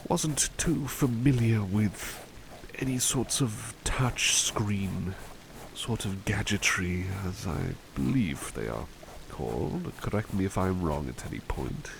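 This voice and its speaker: A man plays a gentle, old-fashioned butler in a soft-spoken ASMR roleplay. His low voice is crackly with vocal fry and swings widely in pitch as he muses politely and hesitantly. Rain-like ambient noise sits clearly behind him. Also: very animated, slow, exaggerated voice. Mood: musing, modest. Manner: hesitant, musing, polite, formal wording. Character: relaxed, courteous, old-fashioned butler painting outdoors.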